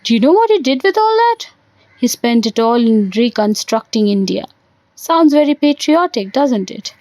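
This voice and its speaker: A woman's voice: a little high, very animated, normal speed, a little mellow, dry recording. A woman voicing a bitter, sarcastic older man, a disillusioned dancer, in an audio play. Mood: scornful, agitated. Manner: sardonic, rhetorical, brooding.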